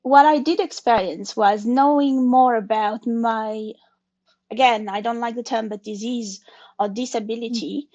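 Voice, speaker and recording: A woman's voice: a little high, unhurried, darkish tone. A woman in her forties, a native French speaker, talks through her answers in English with a slightly raised voice. She hesitates and restarts often, searching for words. The recording sounds dull and muffled. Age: 40s. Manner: thoughtful, hesitant, with restarts and non-native phrasing. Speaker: guest. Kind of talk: conversational interview.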